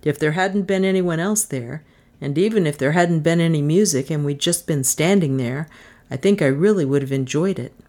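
A woman's voice: sits low, moderate pace, a little mellow, lightly acted. Woman reading as a stubborn, wry man. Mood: wry, sentimental. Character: stubborn, wry man, grumbling but sentimental. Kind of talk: audiobook character dialogue.

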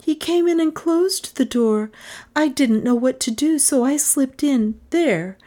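A woman reader voicing a frightened young woman who has just come out of a faint, speaking in a tremulous, breathy way. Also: sits high, expressive, a little slow, dark tone, pitched above the performer's own voice. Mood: shaken.

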